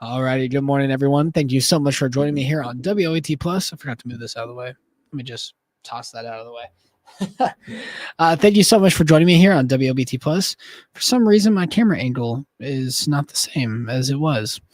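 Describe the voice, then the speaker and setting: A hoarse man with a head cold, a TV meteorologist giving a casual, upbeat live forecast with chatty, self-deprecating asides. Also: mid-range voice, brisk, dry recording, studio quality.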